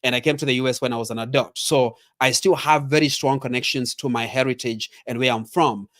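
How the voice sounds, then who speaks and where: A middle-aged man with a Kenyan accent speaks at an average pitch. He delivers a motivational training talk as a leadership coach. He stresses and repeats his key points and speaks straight to the listener. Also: steady tone, brisk, fairly bright tone.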